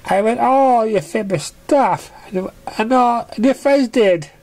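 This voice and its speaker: A storyteller voicing an emotional, impulsive young female winged pony in a high, squeaky, exclamatory voice for a fan story. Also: measured pace, darkish tone, close to an everyday voice. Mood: excited.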